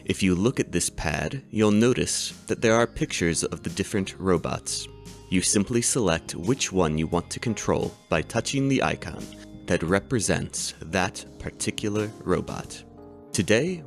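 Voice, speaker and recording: Deep-voiced professor, measured lecturing, faint music bed. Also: male voice, animated. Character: calm adult male robotics professor. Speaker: doctor teaching a robotics class. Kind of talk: audiobook character reading.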